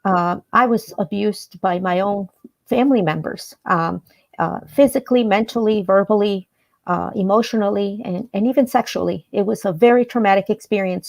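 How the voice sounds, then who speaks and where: Earnest woman from El Salvador, measured. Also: medium pitch, vocal fry. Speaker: interview guest. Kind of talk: personal storytelling in an interview.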